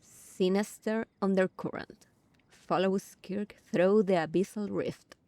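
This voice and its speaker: A woman giving casual commentary on a game playthrough, thinking aloud in a halting way. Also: medium pitch, fairly even intonation, mellow. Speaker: gaming streamer. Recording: game music behind the voice.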